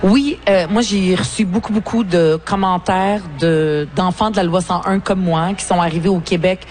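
A woman's voice: fairly low pitch, fairly even intonation, moderate pace, a little mellow, slight background noise. Earnest Québécois woman in her forties. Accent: Québécois French. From Lebanon. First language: Arabic. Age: 40s. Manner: earnest, reflective, many hesitations. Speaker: politician and author guest. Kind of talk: interview at a book fair. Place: book fair.

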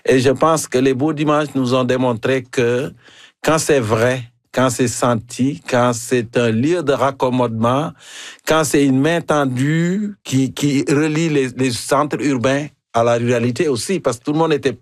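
A man with an African accent hosting a radio show in a lively, rhythmic, poetic manner. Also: medium pitch, a little slow, dry recording, studio quality. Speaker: host. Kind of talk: radio show hosting and scripted closing narration.

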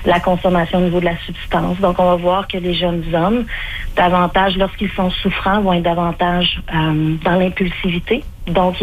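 Québécois woman, low flat voice. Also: rough recording. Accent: Québécois French. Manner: hesitant, many fillers and restarts. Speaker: clinical spokesperson, radio guest. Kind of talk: radio interview answers.

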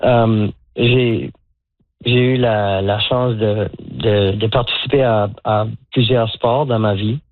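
A man's voice: fairly low pitch, fairly even intonation, slow, no room sound. A man in his sixties from Moncton, talking as a guest in a radio phone interview, hesitant, with many fillers and restarts. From Moncton, Canada. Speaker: guest, pickleball coach. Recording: tinny dry phone line.

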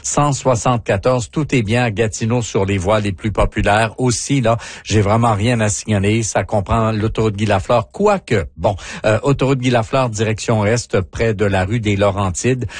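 A man's voice: lowish voice, normal speed, hardly any pauses, slightly rough, dry recording. A man with a Canadian French accent giving a live, partly ad-libbed traffic and weather report on the radio.

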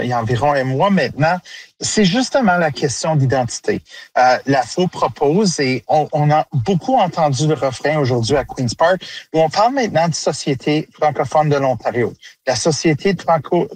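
A man's voice: fairly high pitch, no echo. A man, an organization director answering an interview over a video call from a car, earnest and explanatory. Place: in a car on a highway.

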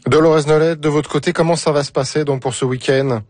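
A man's voice: on the high side, fairly even intonation, brisk, a little mellow. A man hosting a conversational radio panel, putting questions to guests. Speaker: radio host.

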